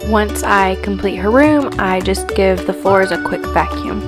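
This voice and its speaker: A young woman giving a steady, scripted voice-over narration of her cleaning routine. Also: mid-range voice, measured pace. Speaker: lifestyle vlogger. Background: background music.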